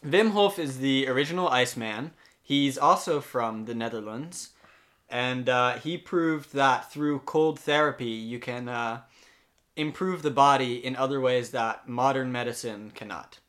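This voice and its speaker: A man playing a hesitant Dutch cold-therapy guide, a guest on a mock health podcast who keeps pausing with ums and uhs. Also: medium pitch, fairly bright tone, natural voice. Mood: earnest.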